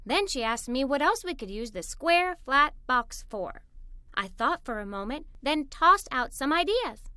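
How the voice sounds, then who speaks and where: A woman reading a scripted children's story aloud in an animated, expressive way. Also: high voice, unhurried, no room sound. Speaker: story narrator. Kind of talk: scripted children's story read-aloud, first person as a young girl.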